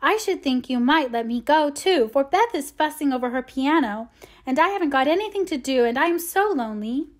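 A woman's voice: sits high, lively intonation, brisk, pitched above the performer's own voice. A woman voicing a young, stubborn, whiny little sister who coaxes and pleads in a storybook reading. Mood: coaxing, whiny. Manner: coaxing, pleading, petulant.

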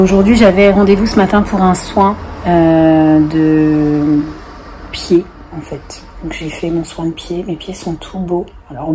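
A woman's voice: fairly low pitch, a little hiss or hum, poor audio. Chatty woman with a head cold. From France. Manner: chatty, many fillers, relaxed storytelling. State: stuffy nose from a cold. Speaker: family vlogger, single mother. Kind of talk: casual chat to camera. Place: living room on a sofa, window open to a busy street. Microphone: camera at close range.

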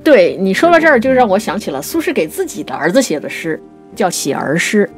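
A woman with a lively, expressive delivery talks through classical literature as a programme co-host. She explains in a conversational way and slows into measured recitation for quoted lines. Soft music plays underneath. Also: mid-range voice. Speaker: writer and co-host of a poetry programme.

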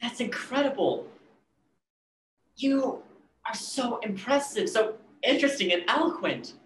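A woman's voice: on the high side, big pitch swings, unhurried. A young adult playing an awkward, smitten young man, stammering and hesitant, in a play reading. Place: indoor room, video call. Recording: roomy video call, background noise.

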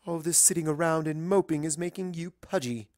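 Narrator as genteel female unicorn, storybook reading, high-set voice, formal, bossy, caring. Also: fairly even intonation, fairly quick, darkish tone, no room sound, clean studio sound, natural voice. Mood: firm, caring.

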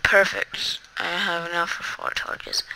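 A rambling Let's Play commentator who chats to viewers while playing. The voice is very bright and sharp, with a gravelly edge. The delivery is slow and unhurried, broken by excitable outbursts. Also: medium pitch, a little hiss or hum, poor audio. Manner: rambling, chatty, talks to viewers. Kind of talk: casual gameplay commentary.

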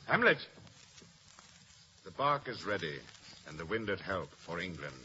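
An actor playing a stern, commanding, scheming middle-aged king in a classical Shakespearean radio drama, with a husky, forceful voice. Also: deep voice, sing-song, measured pace, full character voice. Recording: muffled 1950s radio recording.

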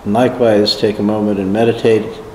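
A man leading a slow, prayerful guided meditation in a calm, measured way. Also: fairly low pitch. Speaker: meditation leader. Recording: echoey hall, faint noise.

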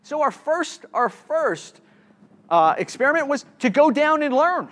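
Keynote speaker, middle-aged man, high-pitched, halting, many restarts, presenting to a room. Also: sing-song, fairly bright tone. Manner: halting, repeats words and restarts often. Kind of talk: conference talk with slides. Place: conference room.

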